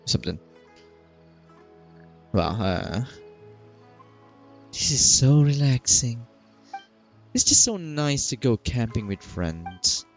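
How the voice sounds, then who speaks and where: A man's voice: medium pitch, very animated, slow pace, long pauses. A man giving casual let's-play commentary, rambling chattily and reacting aloud. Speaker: gaming streamer. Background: faint game music.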